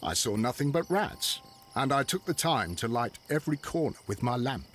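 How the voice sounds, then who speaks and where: Man playing lively-toned, dutiful English police constable. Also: mid-range voice, moderate pace, a little hiss or hum, natural voice. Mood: earnest, factual. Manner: matter-of-fact, reporting. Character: earnest, dutiful Victorian male police constable. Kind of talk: video game drama acting.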